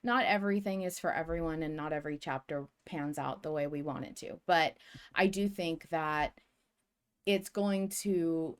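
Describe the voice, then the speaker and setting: A woman co-hosting a podcast, speaking casually in a measured, tactful way during a fan discussion. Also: sits low, clean tone. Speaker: podcast host.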